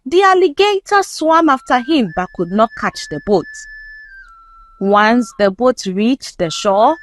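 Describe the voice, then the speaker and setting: Woman, folktale narrator, expressive storybook reading, unhurried pace, animated pitch. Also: faint music behind. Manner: measured, expressive storybook delivery. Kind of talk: scripted folktale narration read aloud.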